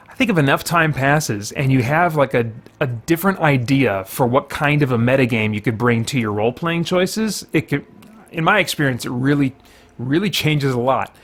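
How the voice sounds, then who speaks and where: Man, mid-pitched, casual gaming commentary, rambling, thinking out loud. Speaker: gaming video host. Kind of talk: casual let's play commentary.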